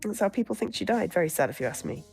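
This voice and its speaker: A woman's voice: mid-range voice, very animated, very fast, creaky, a little mellow, slightly rough, soft background music, exaggerated voice. A woman voicing a positive, matter-of-fact campaign aide in a visual novel, blithe and consoling.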